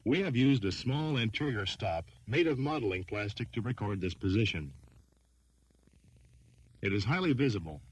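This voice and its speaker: A man reading scripted training film narration in a formal, measured, instructional manner. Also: medium pitch, low-quality recording.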